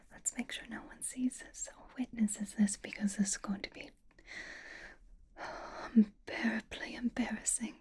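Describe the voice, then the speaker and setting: Elegant vampire lady, acted by a woman, whispered and very breathy, flirtatious and fondly exasperated, for intimate ASMR roleplay. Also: fairly high pitch, a little mellow, heavily acted. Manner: coaxing, intimate, halting, slow.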